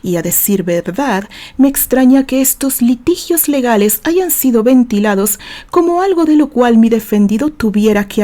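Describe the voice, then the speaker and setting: A woman's voice: on the high side, mellow. A woman voicing an assured male defense attorney in an audio novel, arguing formally and emphatically like a courtroom orator.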